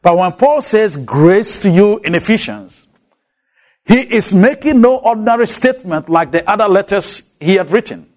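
Male preacher, African accent, high lively delivery, slow and emphatic with repeated key words. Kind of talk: expository sermon.

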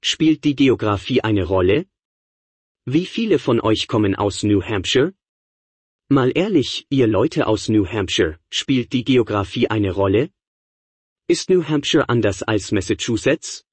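A man's voice: mid-range voice, lively intonation, unhurried, slightly rough, no room sound. A middle-aged man lecturing to college students in a conversational way, firing rhetorical questions at the class. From Niagara Falls area, New York, USA. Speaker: professor.

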